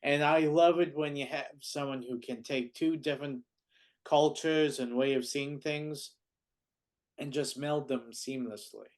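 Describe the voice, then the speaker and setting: A man's voice: on the high side, steady tone, unhurried. A man co-hosting a podcast, rambling casually and searching for words. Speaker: podcast co-host. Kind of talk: casual chat.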